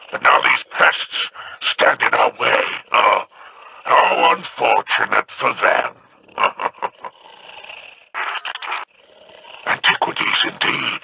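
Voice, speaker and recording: An actor voicing a cunning alien pirate captain in a video game, sly and menacing, with faint noise behind. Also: male voice, medium pitch, creaky, bright, forceful tone, exaggerated voice, poor audio. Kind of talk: game voice acting.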